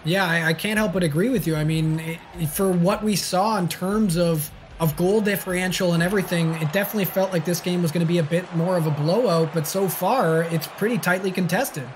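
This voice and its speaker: A man giving relaxed, fluent colour commentary on an esports hockey match. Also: sits high, brisk, few pauses, slight background noise. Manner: easygoing, agreeable, fluent analysis.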